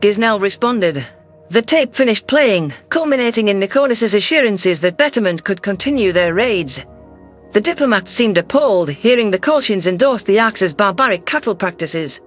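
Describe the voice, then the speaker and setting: A man reading a science-fiction story in a steady, measured way, his voice sitting fairly high. Faint music plays under the narration. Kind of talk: scripted sci-fi audiobook narration.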